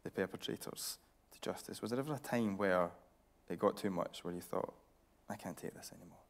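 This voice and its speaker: Deep, creaky-voiced male interviewer. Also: a little fast. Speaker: interviewer, presenter, reporter. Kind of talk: probing on-stage interview questions. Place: theatre stage at a broadcast building.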